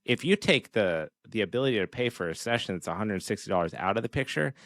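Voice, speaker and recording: A man speaks as a podcast host in relaxed conversation. His pitch is a little low, and he builds his explanation phrase by phrase. The recording is dry, with no room sound. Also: quick pace, no echo.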